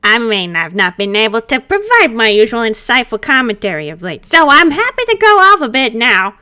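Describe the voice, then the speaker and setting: Woman playing a cheeky, animated male cartoon spirit. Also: on the high side, normal speed, clean tone. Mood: cheerful, teasing. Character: giggly, cheeky male spirit, wry and eager. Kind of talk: amateur roleplay read-aloud of a cartoon character.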